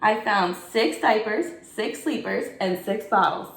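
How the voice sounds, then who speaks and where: A woman, a teacher, expressively reading a bedtime story aloud to children and pausing to ask her young listeners questions. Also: medium pitch, gravelly, a bit bright. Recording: echoey room.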